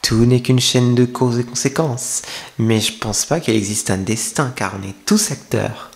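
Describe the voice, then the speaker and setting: A man's voice: medium pitch, a little slow, gravelly. A man voicing a coy young femboy streamer in an intimate, teasing ASMR roleplay, talking confidingly to the listener. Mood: reflective, confiding. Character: coy young male femboy streamer serving in a maid café.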